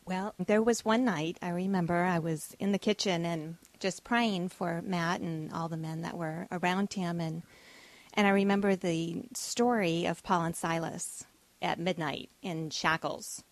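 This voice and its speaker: A woman's voice: on the low side, fairly even intonation, a little slow, no room sound. A woman from Texas with a Texan accent, a radio show guest telling a heartfelt personal testimony. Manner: earnest, heartfelt storytelling.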